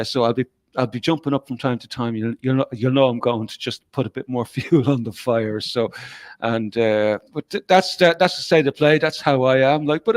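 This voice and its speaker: A tired man with a mid-pitched voice, recorded in a dry, close setting. He co-hosts a live stream and rambles loosely, filling every pause with "like" and "you know". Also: no echo. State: tired, worn out. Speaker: co-host. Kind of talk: casual live-stream chat.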